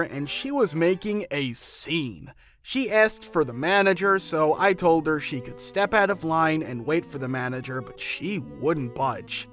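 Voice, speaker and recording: A man narrating first-person workplace stories from a script, with sudden jumps up in pitch and loudness mid-sentence. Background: faint background music.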